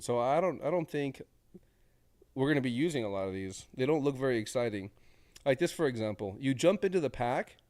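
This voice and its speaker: A man with a cold talks through game previews in a loose, rambling way, restarting his sentences as he thinks. His voice sits at an ordinary middle pitch, with a sniffly edge. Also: darkish tone. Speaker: streamer. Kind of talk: casual commentary on game previews.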